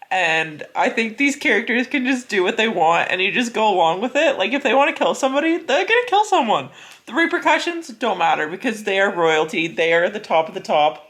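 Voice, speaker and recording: Gushing young woman in casual vlog chat. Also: mid-range voice. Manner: gushing, animated, dramatic emphasis; frequent filler "like". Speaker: book reviewer vlogger.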